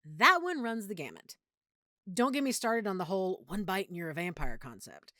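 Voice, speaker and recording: Casting: actress as affectionate girlfriend with a vampire secret, intimate audio roleplay. Lively, swooping intonation, medium pitch, very dry close sound. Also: normal speed, no room sound. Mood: playful, opinionated. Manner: chatty, playful, geeky explaining.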